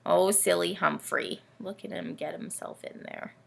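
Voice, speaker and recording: A woman's voice: fairly low pitch, steady tone, a little slow, vocal fry, background noise. A woman hosting a read-aloud for young children, talking to them directly in simple, encouraging words. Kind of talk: story time talk addressed to young children.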